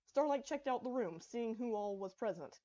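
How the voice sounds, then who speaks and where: A woman's voice: on the high side, animated, normal speed, warm tone, lo-fi sound. A woman reading her own fan fiction aloud as scripted story narration.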